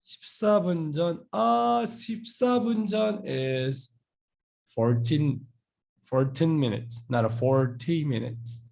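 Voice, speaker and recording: A man who speaks English, teaching in a live online class. He explains patiently, repeats words slowly and acts out short dialogues. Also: fairly high pitch, creaky, a little mellow. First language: Korean. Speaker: Korean language teacher.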